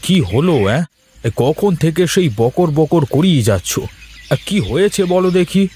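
A man's voice: a little high, very animated, a little slow, mellow, slight background noise. A man voicing a lazy, grumbling, slyly teasing village husband in a cartoon folk tale, drawling and full of interjections.

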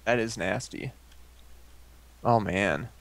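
A man chatting casually while playing a game, teasing and quick to laugh, on a headset mic. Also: fairly low pitch, gravelly, dry recording. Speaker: let's play co-host. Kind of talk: casual gaming chat.

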